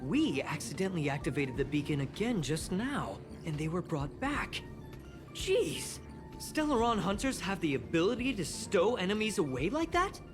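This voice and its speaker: A woman voicing an excitable, anxious young space station researcher in a game, expressive and full of sighs and exclamations. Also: lowish voice, normal speed, over music. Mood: intrigued, worried. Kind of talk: game dialogue acting.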